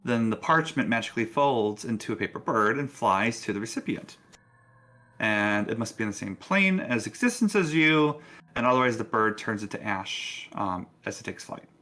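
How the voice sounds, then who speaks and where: Bright-voiced man running a tabletop game. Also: fairly low pitch, animated, moderate pace. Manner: casual, conversational. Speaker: game master. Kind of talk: narrating a tabletop roleplaying game.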